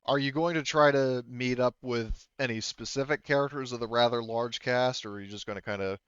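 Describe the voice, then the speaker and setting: A man talking casually as game master to his players in a halting, hedging way. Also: mid-range voice, fairly bright tone, smooth, no room sound, muffled recording. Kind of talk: casual game-running talk among players. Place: online voice session.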